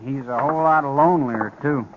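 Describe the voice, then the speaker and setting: Guy as a steady young american ranch hand, few words, radio western, dull noisy old recording. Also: medium pitch, a little fast, natural voice. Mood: easygoing. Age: young adult. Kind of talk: 1950s radio western acting.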